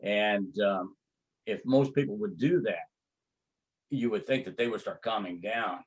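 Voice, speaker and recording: An older man with a Texas accent, a martial arts grandmaster recalling his past in an interview, telling it slowly with many fillers and pauses. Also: lowish voice, rough recording. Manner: slow, halting storytelling with many fillers. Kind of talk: reminiscing interview.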